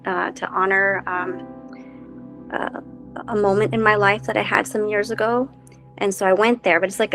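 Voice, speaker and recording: Woman from Costa Rica, mid-range voice, rambling gentle storyteller on a wellness panel, soft music under. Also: medium pitch. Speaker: helping professional on a panel. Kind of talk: casual reflective conversation.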